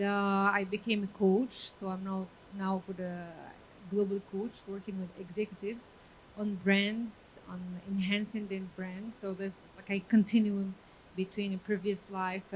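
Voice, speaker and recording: A woman from Morocco speaks as an in an even, level tone. She is hesitant and uses many fillers, and a faint hiss sits under the mic. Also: medium pitch, low-quality recording. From Casablanca, Morocco. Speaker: executive coach, panelist. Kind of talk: live panel introduction. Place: conference stage.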